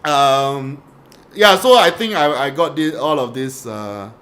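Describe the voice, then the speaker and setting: A man's voice: medium pitch, expressive, takes it slowly, a bit bright. A young man with a Singaporean accent hosting a casual podcast chat, telling stories with many restarts and fillers. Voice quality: says he has a radio voice. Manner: rambling, storytelling, frequent restarts and fillers. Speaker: podcast host. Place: small podcast room. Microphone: studio podcast mic.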